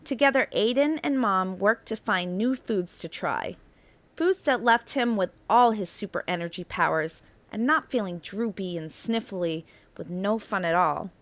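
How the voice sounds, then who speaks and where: A woman narrates a picture book for children at a slow, measured pace. Her pitch sits in the middle range for a woman. She phrases expressively and leans deliberately on key words. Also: lively intonation, fairly bright tone, no echo. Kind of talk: expressive children's read-aloud storytelling.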